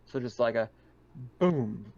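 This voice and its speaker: A man's voice: medium pitch, expressive, slow pace, darkish tone. Man game master, slightly dull lo-fi sound. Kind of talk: improvised narration and table chat for a tabletop horror game. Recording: dull, lo-fi online game audio.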